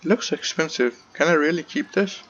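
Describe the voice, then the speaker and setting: A man's voice: on the high side, animated, moderate pace, highs cut off, heavy background noise. A man giving casual let's-play commentary as he plays through a game. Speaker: gamer commentating a playthrough. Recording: game music behind the voice.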